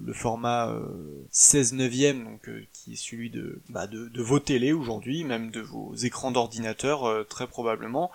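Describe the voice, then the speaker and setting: A man's voice: mid-range voice, vocal fry. A man giving a casual, hesitant film review, full of fillers and restarts.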